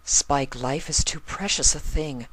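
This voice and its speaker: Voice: medium pitch, a little slow, a bit bright, pitched above the performer's own voice. A performer voicing an elegant young female unicorn from a cartoon fan story, refined, theatrical and full of tender emotion. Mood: pleading.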